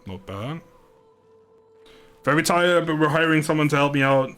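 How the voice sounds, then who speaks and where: A man livestreaming a video game, chatting informally and thinking aloud in a halting, casual way. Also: on the high side, expressive, a bit bright. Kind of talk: casual livestream chat over gameplay.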